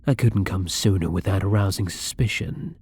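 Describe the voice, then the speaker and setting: A man playing a gentle, caring vampire in an intimate ASMR roleplay, speaking softly and tenderly with a husky, breathy voice. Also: deep voice, normal speed. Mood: earnest, apologetic. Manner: soft-spoken, tender, breathy.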